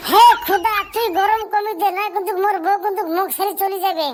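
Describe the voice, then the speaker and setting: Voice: steady tone, fast talker, faint music behind. A performer voicing crude, whining cartoon village youths in a comedy dub, with the voice shifted up to a childlike sound. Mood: whiny complaining. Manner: coarse, bantering, complaining. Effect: pitch-shifted up, childlike.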